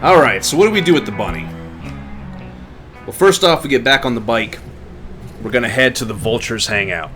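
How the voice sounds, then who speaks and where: A man's voice: medium pitch, expressive, fairly quick, pauses often, fairly bright tone, soft background music. A man livestreaming a video game with casual running commentary. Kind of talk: casual let's play commentary.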